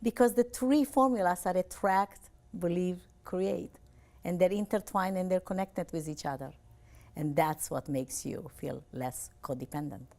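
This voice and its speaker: A woman's voice: fairly low pitch, measured pace, darkish tone. A woman from Romania, a life coach giving an earnest, upbeat television interview and often repeating her phrases.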